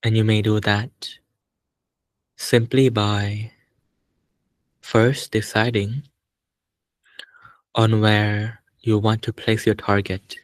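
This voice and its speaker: A man with a fairly low voice guides a meditation in a very even, level tone. He speaks calmly in short phrases and leaves long pauses between them, like a teacher giving gentle step-by-step instruction. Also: no echo.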